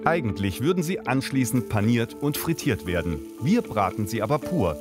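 A man's voice: medium pitch, sing-song, normal speed, over music. A man narrating a consumer documentary in a matter-of-fact scripted voice.

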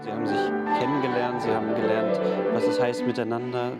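A man's voice: medium pitch, flat delivery, fairly quick. A man working as a music teacher, explaining in an interview. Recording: loud music behind the voice. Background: loud music.

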